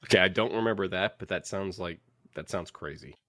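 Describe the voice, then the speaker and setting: Man, lower-pitched voice, dry recording, podcast host, casual, rambling delivery. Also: sharp tone, no room sound. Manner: rambling, halting, thinking aloud. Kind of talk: casual book club podcast chat.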